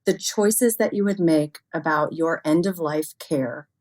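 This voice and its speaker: A woman with a notably low voice speaks as an expert guest in an interview. She explains ideas in a conversational way and leans on everyday examples and hypotheticals. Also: unhurried, darkish tone. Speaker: interview guest, expert on human error in cybersecurity.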